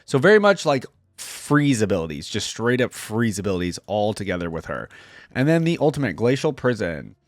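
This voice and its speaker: A man's voice: on the low side, big pitch swings, moderate pace, dry recording, clean studio sound. A man hosting a reaction video, thinking aloud informally as he comments and reads text out. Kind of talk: casual reaction commentary mixed with reading story text and game descriptions aloud.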